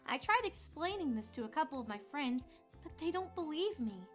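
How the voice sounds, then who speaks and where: A woman voicing an earnest, easily flustered young female pony student in a cartoon-style fan story, with a girlish, excitable delivery. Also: sits high, moderate pace, faint music behind. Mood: hesitant, earnest.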